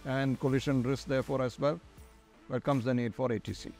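A man's voice: mid-range voice, fairly even intonation, fairly quick, darkish tone. Middle-aged Indian man giving prepared answers. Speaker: guest, air traffic controller in charge. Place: large glass-walled airport tower room. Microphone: lapel. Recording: music under the voice. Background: background music.

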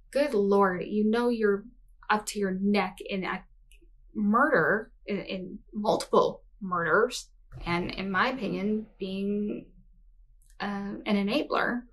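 A woman's voice: medium pitch, takes it slowly. A woman in her forties giving casual, sarcastic reaction commentary, blunt and sweary, with a mocking edge. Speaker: host of a reaction commentary show. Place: small home office or craft room.

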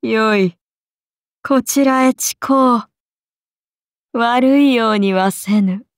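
A woman's voice: a little high, extremely slow, dry recording, studio quality. A woman voicing a haughty, solemn serpent goddess in an anime game, speaking in archaic, commanding words. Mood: regal, condescending. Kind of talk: Japanese anime game voice acting.

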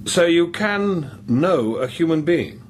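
Gentle priest, lively expressive pitch. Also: male voice, slow pace, slight background noise. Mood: probing. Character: gentle, probing priest, an investigator sent from Rome. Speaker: actor in a radio drama. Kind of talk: naturalistic radio drama acting.

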